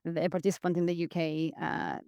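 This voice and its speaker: A woman's voice: lowish voice, level tone, a little fast, darkish tone, no room sound, clean studio sound. A woman from Greece, a podcast guest, talking earnestly in an interview and often restarting her sentences. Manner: earnest, with frequent restarts and repeated words. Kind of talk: reflective interview talk.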